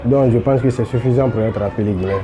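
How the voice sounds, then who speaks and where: A man from Guinea with a Guinean French accent reasoning in a measured way in a street interview. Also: medium pitch, music in the background, crowd noise.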